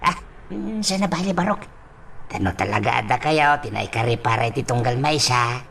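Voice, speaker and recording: An actor with an Ilocano accent playing a fretful, fumbling older village father in a radio drama, halting and stammering. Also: mid-range voice, expressive, gravelly, fairly bright tone, slight background noise. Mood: flustered, hesitant. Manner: halting, stammering, full of exclamations and a repeated catchphrase. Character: fretful, fumbling old village father with a repeated verbal tic.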